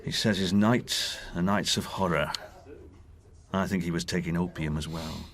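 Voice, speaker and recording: An actor in a radio drama playing a candid working man who haltingly recalls a friend's decline. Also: deep voice, fairly even intonation, a bit bright. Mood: haunted, grave. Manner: halting, plain-spoken, reflective.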